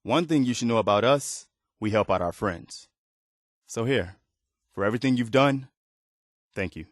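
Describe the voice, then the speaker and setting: A man's voice: medium pitch, expressive, normal speed, no echo, clean studio sound, natural voice. A man playing an earnest, weary militia leader in a video game, speaking in a sincere, plain-spoken, conversational way. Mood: sincere, grateful. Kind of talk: video game dialogue acting.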